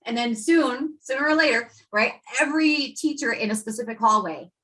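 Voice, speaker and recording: A woman educator leading a workshop for teachers, talking with lively energy and acting out quotes from students and parents. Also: on the high side, normal speed, low-quality recording. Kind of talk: presenting to educators.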